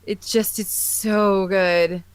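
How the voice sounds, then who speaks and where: An American woman with a clear, clean voice and a middling pitch chats casually as a podcast co-host. She talks effusively, in the informal manner of a fan among friends. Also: steady tone, very slow. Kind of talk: casual chat about sci-fi fandom.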